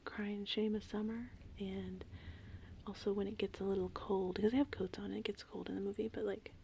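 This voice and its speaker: A woman whispering in a casual, meandering, unhurried ASMR ramble, with a breathy, creaky voice. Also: medium pitch, slight background noise. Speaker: ASMR host. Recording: steady rain and thunderstorm ambience. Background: gentle rain and thunder.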